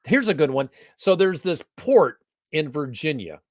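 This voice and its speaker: A man's voice: fairly high pitch, a little slow, no echo, studio quality. A man hosting a casual live online interview, chatty and playful as he welcomes his guest. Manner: chatty, jokey, animated. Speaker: host. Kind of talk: casual livestream interview chat. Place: Atlanta area.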